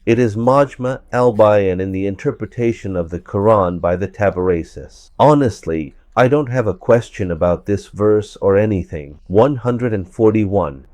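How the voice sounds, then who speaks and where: A man with a hoarse voice from a cold, giving verse-by-verse scripture commentary and repeating points for emphasis. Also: fairly low pitch, mellow, no echo. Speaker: religious commentator.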